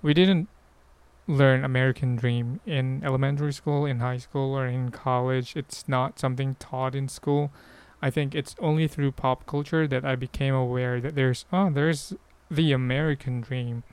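A man's voice: mid-range voice, fairly even intonation, normal speed, darkish tone, smooth, dry recording. A man with a Filipino accent hosting a casual solo podcast, answering questions in a hesitant, rambling way as he thinks aloud. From Philippines. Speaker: podcast host.

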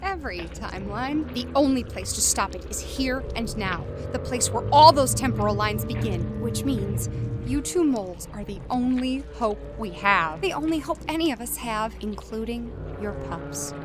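Woman playing a high, animated, wry time traveler. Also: over music, close to an everyday voice. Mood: explaining. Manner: wry, brisk exposition. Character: glib, quirky time-traveling adventurer woman, urgent and quick-witted. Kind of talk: audio drama acting.

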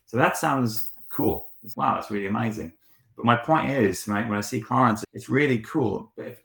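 Male studio director guest, low voice, thoughtful explainer, roomy echo. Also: gravelly. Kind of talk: conversational interview answers.

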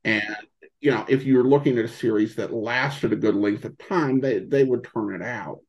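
A man in a casual interview as a podcast guest, talking haltingly and searching for his words. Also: medium pitch. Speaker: podcast guest, old-time radio podcaster.

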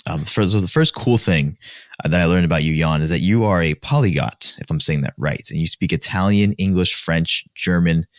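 Low-voiced male host, casual and upbeat, frequent laughs, dry close recording. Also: fairly quick, no echo. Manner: upbeat, laughs often, fillers and restarts. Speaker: podcast host. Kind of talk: casual interview chat.

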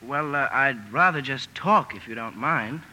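A man playing a polite, well-bred young gentleman in old radio drama, with courteous, polished delivery. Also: mid-range voice, expressive, unhurried. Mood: polite, wistful. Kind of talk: 1940s radio drama acting.

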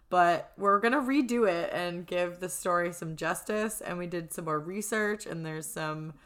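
A woman co-hosting a podcast in casual, excitable, chatty banter. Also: fairly low pitch. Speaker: podcast co-host.

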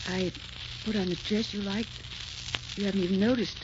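A woman's voice: mid-range voice, fairly even intonation, normal speed, lightly acted. A woman acting a proud, lovelorn young captive in a 1940s radio melodrama, earnest and theatrical in delivery. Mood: wistful. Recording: dull, hissy 1940s radio recording.

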